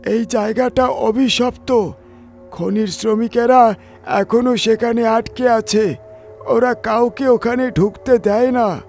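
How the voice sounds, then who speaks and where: Horror-story elder: frail, shaky, very high-pitched, dragging pace, grave and foreboding. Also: male voice, fairly even intonation, a little mellow, soft background music, full character voice. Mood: fearful warning. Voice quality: trembling voice. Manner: slow, grave warning. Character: frail old village man warning of a curse.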